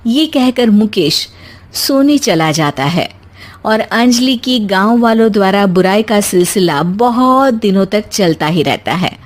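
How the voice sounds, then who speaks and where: A woman's voice: medium pitch, animated, measured pace. A woman narrating a scripted story as a storyteller.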